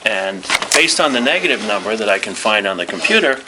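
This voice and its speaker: A man's voice: lowish voice, big pitch swings, a little fast, noisy background. An older man in his sixties explaining things casually as a shop owner, in short matter-of-fact statements. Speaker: gallery shop owner, interviewee. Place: small gallery shop indoors. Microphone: handheld camera a few feet away.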